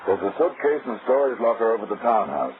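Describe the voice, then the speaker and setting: An actor playing a wisecracking, sly hardboiled private detective in an old-time radio drama, wry and teasing. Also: male voice, fairly low pitch, very animated, fast talker. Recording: tinny, hissy old radio recording.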